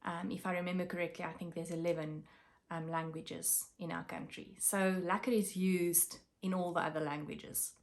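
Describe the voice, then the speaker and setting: A South African woman whose first language is Afrikaans, chatting casually to camera about her craft projects, with many pauses and fillers. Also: on the low side, flat delivery. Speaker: craft vlogger.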